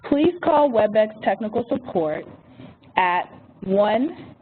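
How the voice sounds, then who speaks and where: A woman's voice: mid-range voice, very animated. A woman moderating a webinar, reading housekeeping notes and an introduction from a script. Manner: reads phone number slowly and carefully. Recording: tinny phone-line audio with faint hiss.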